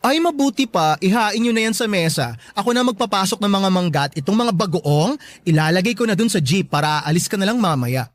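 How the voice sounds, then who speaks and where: A woman playing a sharp-tongued, joking best friend in a Filipino radio drama, campy and teasing. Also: medium pitch, lively intonation, fairly quick, no room sound, close to an everyday voice. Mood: playful, teasing.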